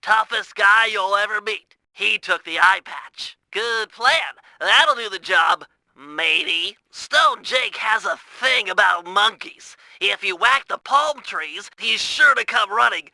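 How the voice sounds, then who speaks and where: Brief: a nerdy young turtle inventor for a game, high, bright and gravelly-edged, fussy and precise. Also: male voice, animated, takes it slowly, dry recording, full character voice. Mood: earnest. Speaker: game character voice. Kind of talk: video game voice lines.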